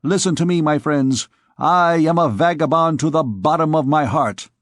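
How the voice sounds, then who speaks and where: A man doing a brash shouting young rogue. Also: on the high side, moderate pace, no room sound, studio quality, voice pushed up, lightly acted. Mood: boastful. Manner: shouting, swaggering. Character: brash young male scamp in armor, boastful rabble-rouser.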